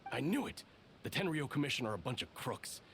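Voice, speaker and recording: An actor playing a disgruntled, gullible soldier in a video game, grumbling and easily flustered. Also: male voice, medium pitch, very animated, a little fast, fairly bright tone, a little hiss or hum. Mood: indignant.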